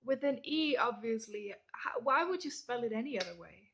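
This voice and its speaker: A young woman from Germany with a German accent, chatting casually through a list of questions and often dropping into soft whispered asides. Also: fairly high pitch, expressive, moderate pace, slight background noise. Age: young adult. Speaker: vlogger. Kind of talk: casual question-and-answer tag video.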